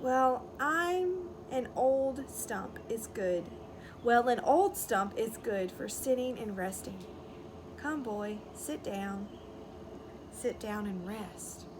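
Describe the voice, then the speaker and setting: A woman voicing a gentle, giving old female tree in a storybook, speaking haltingly, soft and tender, sad but loving. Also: a little high, animated, noisy background.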